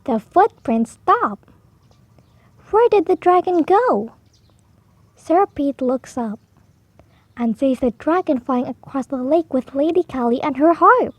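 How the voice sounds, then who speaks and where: A woman reading a children's picture book aloud slowly and clearly, with expressive delivery for beginner readers. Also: sits high, no echo. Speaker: storybook reader. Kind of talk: slow children's read-aloud.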